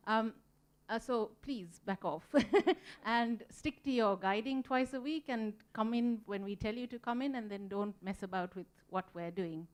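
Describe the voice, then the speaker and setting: A woman from Sri Lanka speaks on a conference panel in a smooth, clear voice at a middle pitch. She is earnest and reflective, and she fills her pauses with ums as she works through each point. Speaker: museum volunteer panelist. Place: conference room.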